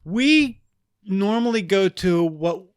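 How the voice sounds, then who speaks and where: A man co-hosting a relaxed podcast, his voice a touch on the high side. He talks loosely, laughs often and pauses to search for words. The sound is dry and close. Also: clear, resonant tone, dry recording. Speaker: podcast co-host. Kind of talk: casual chat.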